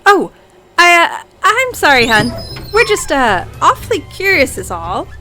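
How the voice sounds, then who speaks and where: Exasperated den-mother alien manager played by a woman, high-pitched, animated, folksy, for sci-fi audio comedy. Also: unhurried, soft background music, full character voice, poor audio. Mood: awkwardly apologetic. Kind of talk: comedic audio drama acting.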